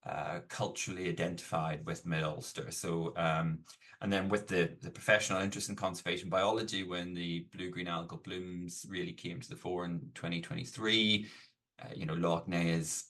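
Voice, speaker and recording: A middle-aged man with a Northern Irish accent, explaining his research at a measured pace as an interview guest. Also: low voice, fairly even intonation, a bit bright. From Northern Ireland. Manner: measured, explanatory, many fillers. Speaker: guest academic. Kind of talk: podcast interview.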